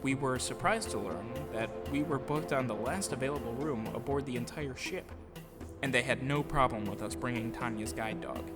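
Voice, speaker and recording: A man narrates a personal account with music clearly playing behind him. His voice sits at a middle pitch, and he reads in an earnest, reflective storytelling style. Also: normal speed. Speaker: audio drama producer and voice actor. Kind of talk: scripted first-person storytelling.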